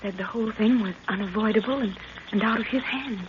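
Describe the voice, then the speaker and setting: A woman's voice: medium pitch, a little fast, lightly acted. A young woman playing an anxious, earnest daughter rescued from captivity, breathless, in 1930s radio acting style. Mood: relieved, anxious. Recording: dull, noisy 1930s radio recording.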